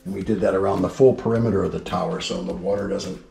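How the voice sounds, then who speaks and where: A man with a fairly low, creaky voice gives an informative house tour. He talks plainly, like a builder going through features. The sound is echoey, with music playing underneath. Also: steady tone, quick pace, warm tone. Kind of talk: explanatory house tour narration. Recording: roomy indoor echo with music behind. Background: background music.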